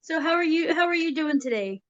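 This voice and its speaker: Woman interview host, higher voice, friendly and upbeat, dry slightly dull recording. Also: animated, brisk, a bit bright, no echo. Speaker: show host.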